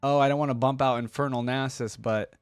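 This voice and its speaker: A man's voice: mid-range voice, steady tone, brisk, smooth, dry recording, faint music behind. A man hosting a reaction video, talking informally and thinking aloud as he comments and reads along. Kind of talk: casual reaction commentary, reading wiki text aloud.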